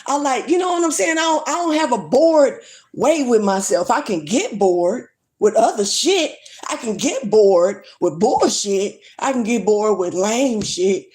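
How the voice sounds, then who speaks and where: A woman's voice: a little high, animated, unhurried, a little mellow. A woman, ranting bluntly and swearing freely in a casual livestream. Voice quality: strong voice. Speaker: livestream vlogger. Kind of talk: casual rant to camera.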